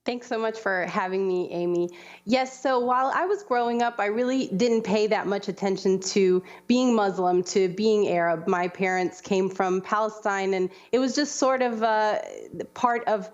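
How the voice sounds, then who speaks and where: A woman's voice: medium pitch, normal speed, highs cut off. A young woman, a film director, answering questions as a guest in an interview.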